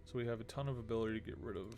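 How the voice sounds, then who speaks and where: A man's voice: medium pitch, fairly even intonation, racing. A man casually commentating on his gameplay, muttering and thinking aloud half to himself. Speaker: video game streamer. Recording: loud game music behind. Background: loud video game music.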